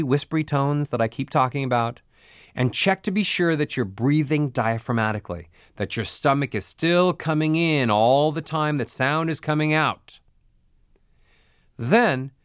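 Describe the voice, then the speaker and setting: A man teaching vocal exercises as a voice coach, speaking clearly and now and then demonstrating the sounds he means. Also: medium pitch, no room sound, studio quality. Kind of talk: instructional audiobook narration.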